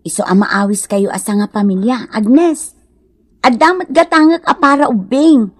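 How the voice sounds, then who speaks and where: Woman acting an agreeable wife, high and dry. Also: moderate pace, no room sound, natural voice. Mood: cheerful, agreeable. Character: agreeable, cheerful, hospitable wife. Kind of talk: Ilocano radio comedy drama acting.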